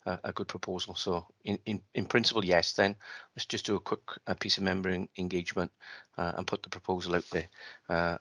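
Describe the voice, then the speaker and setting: A man's voice: low voice, a bit bright, no echo. A man chairing an online meeting over a video call, talking at length with many restarts and fillers.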